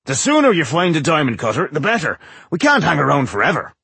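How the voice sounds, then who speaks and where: A man voicing a brash, boozy dwarf mason, a cheeky trickster with a rough, boastful way of talking, in a fantasy audiobook. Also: a little high, huge pitch swings, quick pace, fairly bright tone, no room sound, pitched above the performer's own voice, exaggerated voice. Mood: cocky. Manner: boastful, breezy, impudent.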